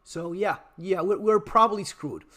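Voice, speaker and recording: A man giving a blunt, profane, exasperated rant about a video game while his voice is going hoarse. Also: sits high, normal speed, sharp tone. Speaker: gaming commentary YouTuber. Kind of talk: ranting video commentary.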